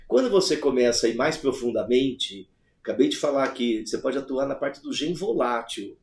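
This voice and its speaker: A man's voice: mid-range voice, darkish tone. Explanatory male guest, echoey. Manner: thoughtful, explanatory, builds points step by step. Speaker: podcast guest, alchemist. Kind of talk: explanatory conversation. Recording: roomy echo.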